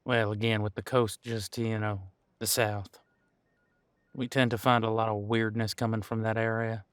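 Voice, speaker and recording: A man playing an easygoing veteran police officer in an audio roleplay, chatting casually and candidly with thoughtful pauses. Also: on the low side, level tone, a bit bright, clear, resonant tone, dry recording, close to an everyday voice. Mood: wry, apologetic. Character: easygoing veteran male supernatural police officer showing newcomer around. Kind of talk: audio roleplay acting, one-sided conversation.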